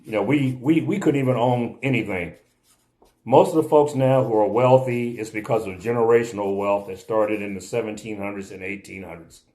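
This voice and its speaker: Emphatic lower-voiced man, insistent. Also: moderate pace, mellow. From United States (born in Oklahoma). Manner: repetitive, emphatic, insistent, occasional laughs. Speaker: video commentator. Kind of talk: impassioned spoken commentary.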